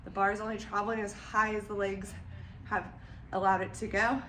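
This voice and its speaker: A woman's voice: medium pitch, flat delivery, fairly quick, bright, forceful tone. Young woman, bit nasal n winded, lifting vlog in a garage, mic far off, echoey w/ background noise. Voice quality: a little nasal from congestion. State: winded between lifts. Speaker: vlogger and former weightlifter. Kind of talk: casual training vlog with coaching tips. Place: home garage. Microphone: camera set up away from her.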